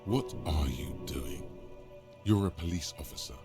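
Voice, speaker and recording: Game narrator, deep gravelly male voice, over game music. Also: animated, a little slow. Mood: hushed. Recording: game audio under music.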